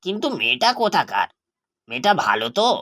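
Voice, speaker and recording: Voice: medium pitch, big pitch swings, brisk, a bit bright. A performer voicing a trusting, affectionate father in a cartoon, agreeable and coaxing in manner. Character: trusting, affectionate widowed father, easily persuaded. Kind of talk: cartoon voice acting.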